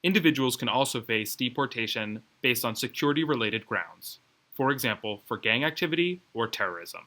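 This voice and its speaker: A man presenting an educational video in a scripted, measured, explanatory way. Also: mid-range voice, lively intonation, sharp tone. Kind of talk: scripted informational narration.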